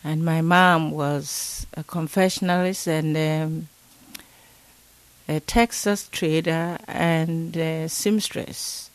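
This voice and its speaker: An older woman from Ghana, an interview guest recalling her childhood slowly and thoughtfully, with many pauses. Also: deep voice, fairly even intonation, no room sound.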